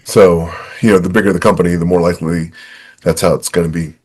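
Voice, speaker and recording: Low-voiced man telling podcast anecdotes. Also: flat delivery, fast, slightly rough. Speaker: podcast co-host and author. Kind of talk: casual anecdotal podcast talk.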